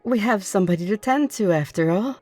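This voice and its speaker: A woman's voice: medium pitch, expressive, a little fast, a little mellow, no room sound, natural voice. A woman playing a curious, optimistic newly turned vampire, speaking in formal, genteel and reassuring phrases for an ASMR roleplay. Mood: courteous, reassuring. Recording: music behind the voice. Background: music.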